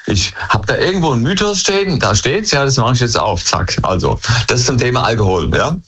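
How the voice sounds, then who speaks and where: A hoarse man rambles loosely on a call-in line, his pitch swinging up and down as he talks. The line sounds dull and lo-fi. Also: expressive, fairly quick, fairly bright tone. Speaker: caller. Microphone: phone line.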